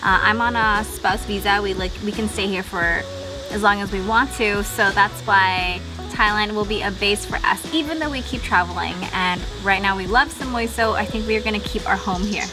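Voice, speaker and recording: A young Canadian woman travel vlogger, chatting casually and explaining things quickly to the camera by a resort pool. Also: mid-range voice, music in the background. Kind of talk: casual chat. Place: outdoor resort pool, then indoor spaces.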